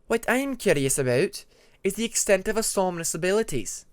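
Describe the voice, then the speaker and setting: A man's voice: sits high, lively intonation, a little fast, no room sound, pitched above the performer's own voice. A haughty ice dragon, acted out by a man, speaks in a raised, emphatic voice. The creature sounds sceptical and pointed, with sweeping intonation, in a storybook reading. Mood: probing. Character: haughty, sceptical Icewing dragon, probing and curt.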